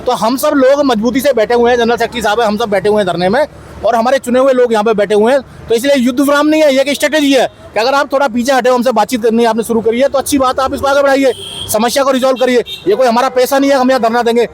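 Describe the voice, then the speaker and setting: A man's voice: extremely high pitch, fairly even intonation, rapid-fire, slight background noise. A union president, insistently answering a reporter's questions at an outdoor protest, repeating his points. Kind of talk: on-the-spot protest interview. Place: outdoor protest site by a street.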